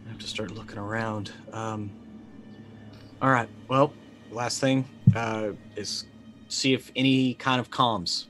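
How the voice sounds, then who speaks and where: Man, thirties, somewhat low pitch, casual game talk, halting, questioning, faint music behind. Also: fairly bright tone. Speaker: player in a tabletop roleplaying game. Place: indoor room.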